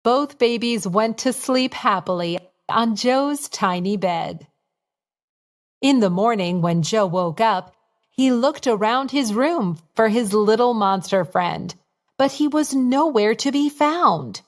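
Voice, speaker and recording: A woman narrates a bedtime story for young children at a very unhurried pace. Her voice sits fairly high, and her telling is simple and expressive.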